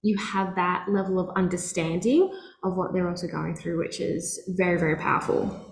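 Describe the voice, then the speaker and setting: A woman's voice: fairly low pitch, little pitch movement, normal speed, vocal fry, echoey room, a little hiss or hum, low-quality recording. A woman hosting a wellness podcast as a nutritionist, effusive and enthusiastic as she introduces and chats with her guest. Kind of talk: podcast hosting and casual chat.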